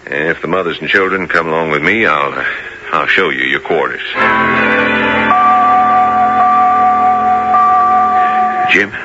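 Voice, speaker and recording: An actor playing a resolute Texan fort commander in a radio drama, firm and commanding in manner. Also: male voice, very deep voice, a little fast, pushed and bright, faint music behind, exaggerated voice. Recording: muffled, hissy late-1970s radio broadcast.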